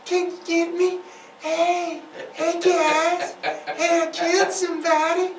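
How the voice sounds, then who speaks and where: Casting: a man playing a remorseful, whimpering caller-killer, squeaky womanly falsetto, pleading, captured off-mic in a roomy space. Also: little pitch movement, takes it slowly, exaggerated voice. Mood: mock pleading. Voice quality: high-pitched falsetto. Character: remorseful weepy serial killer confessing by phone in womanly falsetto. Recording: roomy, distant mic, faint noise.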